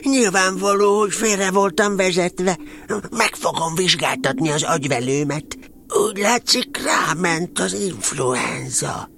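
A man voicing a naive, good-natured, slow-witted toy bear in a children's audio drama, earnest and ponderously solemn in his reasoning. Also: high-pitched, gravelly, a crowd in the background. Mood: rueful, earnest.

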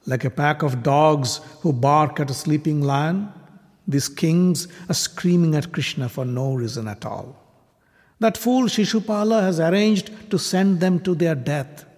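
A man's voice: fairly high pitch, a little slow, a little mellow, voice pushed up. A man voicing a grave old warrior-sage. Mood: reassuring. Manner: grave and reassuring. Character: wise, dignified old male grandsire and warrior. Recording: long hall reverb.

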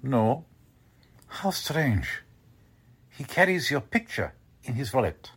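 A man plays a Nazi German villain in an old-time radio drama. His voice is husky and very slow, with pitch that swoops and sinks. He stays coldly courteous and suave while he threatens. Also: very animated, a bit bright, heavily acted. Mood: sly, taunting. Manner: deliberate, suave, veiled threats. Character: cold, courteous Nazi German agent, menacing male kidnapper.

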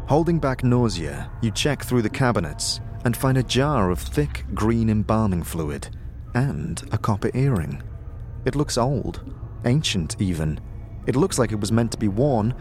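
A male narrator with a fairly low voice reads a scripted fantasy audio drama. He tells it as a story, with music playing clearly behind him.

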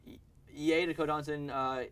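Fast-talking man hosting a casual podcast. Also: fairly high pitch, level tone, fairly bright tone, smooth. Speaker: podcast host. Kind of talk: casual pop-culture news chat.

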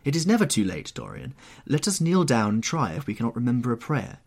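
A man's voice: medium pitch, big pitch swings, fairly quick, gravelly, a little mellow, breathy edge, pitched above the performer's own voice, full character voice. A man voicing a horrified, anguished painter who pleads and moralises in an agitated, exclamatory way, in an audiobook story. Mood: pleading, fervent.

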